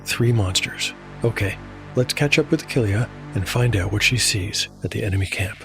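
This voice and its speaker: A man narrating a dark fantasy tabletop audiodrama in a measured, deliberate, scripted storytelling style. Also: on the low side, creaky, over music.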